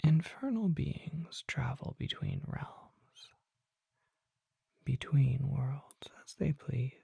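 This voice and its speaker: A performer voicing a mysterious, courtly vampire apothecary in a breathy, formal ASMR whisper, close to the microphone. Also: medium pitch, lively intonation, no echo, exaggerated voice. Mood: hushed, reverent. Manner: slow, formal, deliberate, hushed.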